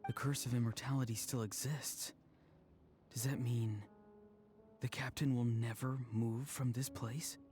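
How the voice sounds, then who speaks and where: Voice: mid-range voice, steady tone, unhurried, a little mellow, soft background music, close to an everyday voice. An actor voicing an earnest, thoughtful young traveler in a fantasy video game, pensive in manner. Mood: concerned.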